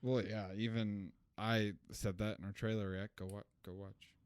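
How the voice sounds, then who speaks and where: Low smooth guy podcast host, casual movie chat, really dry sound. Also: little pitch movement, normal speed, no room sound. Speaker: film review podcast host.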